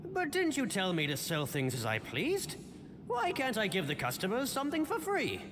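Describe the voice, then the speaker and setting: A bewildered old geezer, swooping pitch. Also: male voice, huge pitch swings, moderate pace. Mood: confused. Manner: bewildered, protesting. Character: hapless, naive old salt-selling husband. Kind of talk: video game voice acting. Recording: clearly noisy ambient background.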